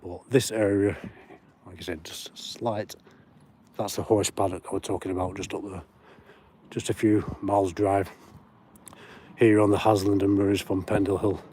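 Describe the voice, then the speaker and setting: A chatty man with a broad Lancashire accent talks into his phone while walking the moors at night. His voice sits low and mellow. He reads out viewer comments and greets people by name as he goes. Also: level tone, normal speed, pauses often, slight background noise. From Lancashire, England. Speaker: paranormal livestream host. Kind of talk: casual livestream chat while walking. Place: outdoors on moorland at night.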